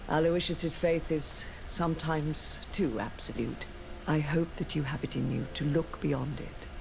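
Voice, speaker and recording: Creaky-voiced, solemn older cardinal. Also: female voice, sits low, noisy background, exaggerated voice. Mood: solemn, gracious. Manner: grave, ceremonious, preaching. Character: solemn, zealous female high cardinal of a faith. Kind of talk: video game dialogue acting. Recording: game dialogue under music.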